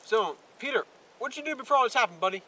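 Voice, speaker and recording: Young male with a high voice, casual hesitant line readings, clear outdoor background noise. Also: expressive, very fast. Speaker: amateur actor in film outtakes. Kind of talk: rehearsing scripted dialogue, casual. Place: outdoors in woods. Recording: noisy outdoor camera recording.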